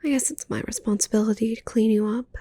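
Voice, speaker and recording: A woman voicing a giant possessive witch girlfriend in an intimate ASMR roleplay, whispery and breathy, cooing and teasing with a menacing edge. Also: fairly high pitch, quick pace, warm tone, close to an everyday voice. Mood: doting, teasing.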